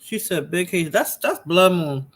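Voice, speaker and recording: A woman's voice: lowish voice, animated, unhurried. A woman with a Bronx accent hosting a casual livestream chat, laughing often and repeating herself. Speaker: livestream host.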